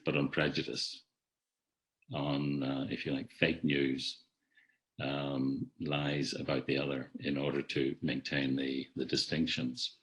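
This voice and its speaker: Man, very low voice, academic webinar lecture over a video call, measured and reflective, restarts often. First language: not an Irish speaker. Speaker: webinar speaker. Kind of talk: academic talk.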